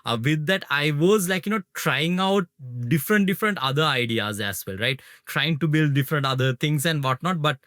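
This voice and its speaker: A man's voice: on the high side, moderate pace, hardly any pauses, fairly bright tone, no echo, studio quality. A man from Sri Lanka, a startup founder interviewed as a guest, who talks casually and keeps adding "right?" and "like, you know".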